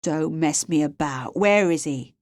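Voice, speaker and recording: A woman voicing a tall, sinister male thug with soft-voiced menace in an audiobook. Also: deep voice, unhurried, no room sound, clean studio sound, voice pushed down. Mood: cold threat. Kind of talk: audiobook character voice.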